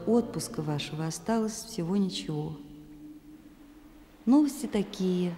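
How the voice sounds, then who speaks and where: A woman's voice: mid-range voice, warm tone, natural voice. An actress playing a wistful, candid woman reading her letter in a radio play, intimate and reflective. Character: wistful, candid actress writing to a man she loves. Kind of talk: Russian radio play monologue. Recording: long reverb, faint background noise.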